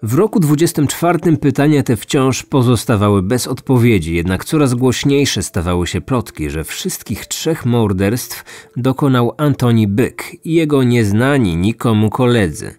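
A man hosting a true-crime podcast, narrating from a script in measured storytelling style. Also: medium pitch, lively intonation, darkish tone. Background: background music.

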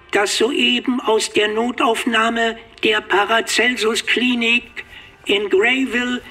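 Mimo, a fussy pedantic robot. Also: male voice, extremely high pitch, pushed and bright, husky, exaggerated voice. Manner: pedantic and offended. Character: fussy pedantic male robot, touchy and proud.

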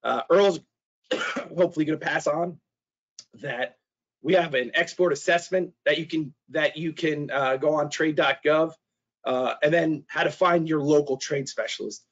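A middle-aged man giving a webinar presentation, hoarse and losing his voice, halting with fillers and restarts and clearing his throat. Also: on the high side. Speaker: trade specialist presenter. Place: small office. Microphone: video call. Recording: dull lo-fi video call audio.

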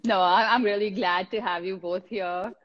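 A woman's voice: medium pitch, fairly even intonation, moderate pace. Chatty woman host on a video call. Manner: chatty, laughs often. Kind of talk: casual video-call interview. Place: indoor room, in the desert. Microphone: laptop video call. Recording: slightly dull video-call audio.

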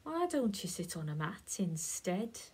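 A woman's voice: mid-range voice, big pitch swings, a little slow, a little mellow, slightly rough, heavily acted. A woman voicing a chatty, teasing male dog in a read-aloud picture book.

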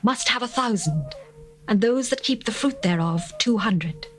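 A woman voicing a young bride who longs for her beloved, in an earnest, measured dramatized scripture reading. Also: medium pitch, lively intonation, natural voice. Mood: longing. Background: faint music.

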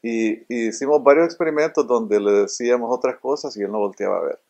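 A man's voice: medium pitch, quick pace, gravelly, a little mellow. A middle-aged man from Honduras, a robotics engineer, answering interview questions earnestly and often repeating words as he looks for them, seated indoors among robots. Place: indoor room full of robots.

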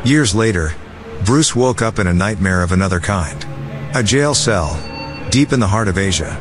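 Low-voiced male storyteller over loud music. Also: expressive, normal speed. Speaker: narrator. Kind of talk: scripted dramatic story narration.

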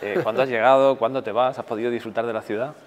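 A man's voice: mid-range voice, fairly even intonation, fast, highs cut off. A man in his thirties hosting a TV magazine, asking a guest questions on a lapel mic. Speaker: TV host. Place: indoor interview set.

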